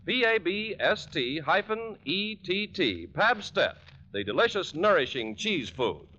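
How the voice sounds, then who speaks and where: A man's voice: high-pitched, big pitch swings, unhurried, muffled recording, noisy background. A man announcing in a scripted 1940s radio style, selling and narrating. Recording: band-limited 1940s radio recording.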